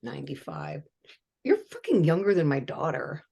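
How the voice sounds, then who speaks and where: A woman's voice: low voice, very animated, normal speed, gravelly, dark tone, slightly rough. A woman in her fifties hosting a casual chat, blunt and chatty, swearing freely and reeling off lists. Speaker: host.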